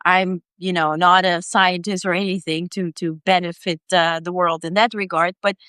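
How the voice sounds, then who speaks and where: Ukrainian-born woman journalist, lowish clear voice, lots of ums, dry close mic. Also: level tone, normal speed, pushed and bright, no room sound. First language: Ukrainian or Russian. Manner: hesitant, many fillers. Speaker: independent journalist, guest. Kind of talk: interview conversation.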